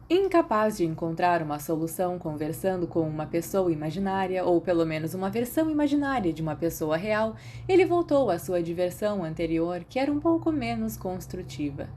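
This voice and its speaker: A woman with a Brazilian accent reading fiction aloud as an expressive scripted narrator. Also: fairly low pitch, a little hiss or hum. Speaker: audiobook-style narrator of fan fiction.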